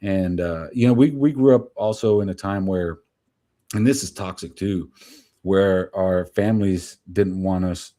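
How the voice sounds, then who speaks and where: A man's voice: deep voice, unhurried, mellow. A Gen X man who grew up in New Jersey, whose first language was Spanish, talking candidly in a rambling interview full of fillers. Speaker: guest. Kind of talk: casual interview chat.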